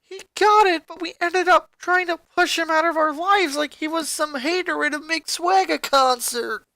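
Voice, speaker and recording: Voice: very high-pitched, moderate pace, bright, forceful tone, dry recording, pitched above the performer's own voice, heavily acted. A story reader voicing a passionate, emotional teenage rocker girl with emphatic, wounded pleading. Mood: anguished.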